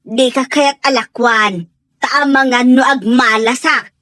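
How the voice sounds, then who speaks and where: A woman plays a fish seller in an Ilocano radio drama. Her voice is high and slow-paced, with an Ilocano accent, recorded dry. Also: fairly even intonation, sharp tone, dry recording, heavily acted. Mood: coaxing, sales patter. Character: hawker woman selling fresh fish.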